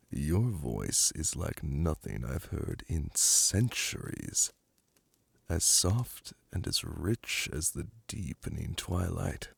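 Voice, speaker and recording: A man voicing a suave, flirtatious, lordly demon prince in an ASMR roleplay, purring teasing endearments in a languid, imperious manner with a gravelly, breathy voice. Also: very deep voice, no echo, exaggerated voice. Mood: amused, flirtatious. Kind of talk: ASMR roleplay audio drama. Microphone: close, dry microphone.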